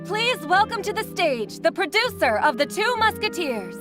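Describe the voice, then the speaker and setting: Very high-pitched theatrical announcer. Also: female voice, sing-song, moderate pace, over music. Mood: ceremonial, excited. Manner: proclaiming, showy. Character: bright, theatrical female awards ceremony announcer.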